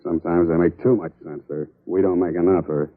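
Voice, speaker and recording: An American actor playing a wry, tough, wisecracking private detective in 1940s radio drama style. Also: male voice, low-pitched, steady tone, fairly quick, narrow, tinny audio, background noise, natural voice. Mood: weary, sardonic. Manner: wisecracking, sardonic. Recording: old band-limited radio broadcast.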